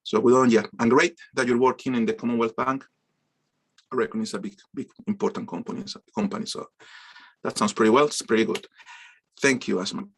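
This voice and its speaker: A man's voice: medium pitch, brisk, fairly bright tone. A man teaching an online business course over a video call, often stumbling and repeating words as he responds to students. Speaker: course instructor. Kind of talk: online class introductions.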